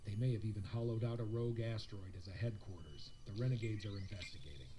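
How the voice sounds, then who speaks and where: A man playing a grim, businesslike starship officer in a sci-fi audio drama, giving measured, serious reports. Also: on the low side, noisy background, lightly acted. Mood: serious, reporting.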